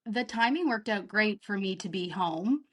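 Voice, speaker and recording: A woman's voice: mid-range voice, expressive, normal speed, husky. A woman from near Toronto, a podcast guest chatting casually and agreeing eagerly with a laugh. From near Toronto, Canada. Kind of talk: casual conversation.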